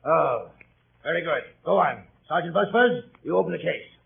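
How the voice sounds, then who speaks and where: An actor playing a crusty, absent-minded old English judge in vintage radio drama, halting and imperious, on an old recording. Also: male voice, a little high, lively intonation, vocal fry, echoey room, narrow, tinny audio, a little hiss or hum. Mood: brusque, official. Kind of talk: 1930s radio acting.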